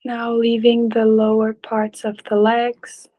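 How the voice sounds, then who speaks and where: A woman leading a guided meditation in a gentle, calm, unhurried way, leaving long pauses between short phrases. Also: a little high, phone-quality sound. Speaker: meditation teacher.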